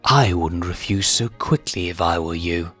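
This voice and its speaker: A man's voice: low voice, a little slow, a bit bright, soft background music. A man voicing a scornful young lioness who is insistent and commanding, with a sinister false courtesy, in a story reading. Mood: menacing.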